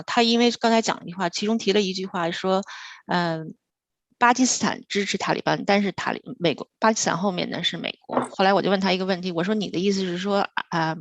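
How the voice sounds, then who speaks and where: Woman host interpreting, lowish creaky voice, super dry sound, chatty paraphrasing. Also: no echo.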